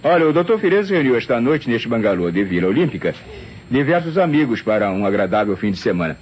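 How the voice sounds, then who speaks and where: A man's voice: medium pitch, big pitch swings, quick pace, darkish tone, close to an everyday voice. An actor playing a courteous, talkative male secretary in an old radio drama. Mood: eager, earnest. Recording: dull, hissy old radio recording.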